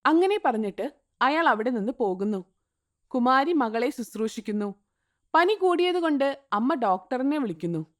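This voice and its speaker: A woman narrating an animated moral story in a scripted storybook style. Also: sits high, moderate pace. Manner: even, storytelling tone.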